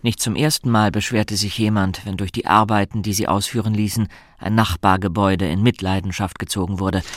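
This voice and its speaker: Low-voiced male radio-play narrator. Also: steady tone, a little fast, slight background noise. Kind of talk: scripted literary narration for radio drama.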